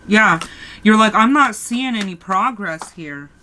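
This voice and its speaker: A woman's voice: mid-range voice, lively intonation, unhurried, pushed and bright, a little hiss or hum, rough recording. A woman with a sore throat giving a casual tarot reading, talking straight to her viewers and often restarting her sentences. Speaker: tarot reader.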